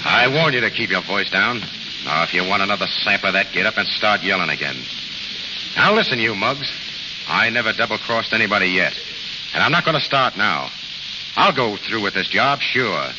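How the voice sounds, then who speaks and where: Actor as commanding, low-voiced American gang boss. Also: male voice, animated, moderate pace, close to an everyday voice. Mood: angry, commanding. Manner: clipped American gangster slang. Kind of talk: 1930s-40s radio drama acting. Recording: dull, noisy vintage radio recording, long reverb.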